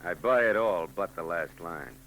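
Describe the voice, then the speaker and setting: A man's voice: sits low, moderate pace, a bit bright, low-quality recording. A man playing a wisecracking hard-boiled private eye in a 1940s radio drama, curt and tough. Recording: hissy old radio tape with hum.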